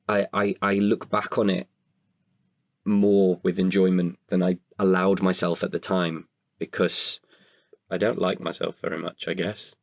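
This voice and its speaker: A man, an actor, talking reflectively about himself in an interview, haltingly and with pauses mid-sentence. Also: low-pitched, gravelly, no echo. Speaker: actor and guest writer. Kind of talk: reflective personal interview talk.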